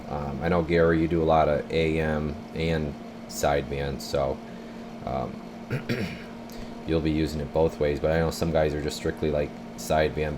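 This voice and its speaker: A man's voice: a bass voice, noisy background. A man talking casually and unscripted as he gives a laid-back, rambling radio equipment demonstration. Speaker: channel host demonstrating a CB radio. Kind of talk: casual unscripted demo talk.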